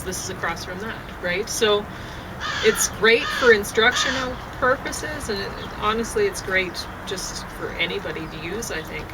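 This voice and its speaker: A middle-aged woman talks in a rambling, chatty way, full of "um"s and restarts. She is recorded outdoors on a deck, with clear background noise of birds and traffic behind her. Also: medium pitch. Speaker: vlogger. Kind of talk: casual outdoor chat. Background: birds and passing traffic.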